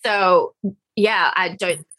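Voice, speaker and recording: A woman's voice: on the low side, takes it slowly, pushed and bright. A young woman with an Australian accent, chatting as a podcast guest, rambling with fillers and restarts. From Singapore. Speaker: guest freelancer. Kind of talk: casual interview chat.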